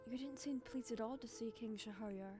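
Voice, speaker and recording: Woman voicing a high-voiced, courteous, gentle genie girl. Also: normal speed, lightly acted. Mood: curious. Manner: formal, courteous phrasing. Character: polite, deferential young female genie, gentle and wistful. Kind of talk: cartoon comic dub acting. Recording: music behind the voice. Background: music.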